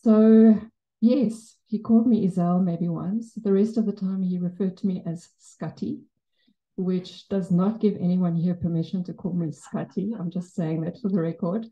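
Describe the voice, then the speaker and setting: A middle-aged South African woman with an Afrikaans accent gives a spoken tribute into a handheld mic in a shrine room. Her voice sits low, and she talks in a reflective way with touches of gentle humor. The recording sounds dull and muffled, caught by a far camera. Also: fairly even intonation, normal speed. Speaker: memorial speaker. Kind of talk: personal tribute speech. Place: Buddhist center shrine room, indoors.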